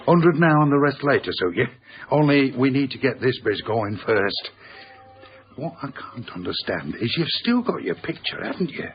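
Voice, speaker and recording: An actor playing an earthy, evasive middle-aged Yorkshire chip-shop owner in a radio drama, blunt and teasing. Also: male voice, medium pitch, big pitch swings, moderate pace, muffled recording, slight background noise. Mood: matey, blunt.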